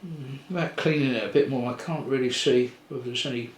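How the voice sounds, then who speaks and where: An older man giving a casual hands-on repair tutorial, thinking aloud in an unhurried way with pauses. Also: medium pitch. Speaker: electronics repair presenter. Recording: roomy echo with faint background noise.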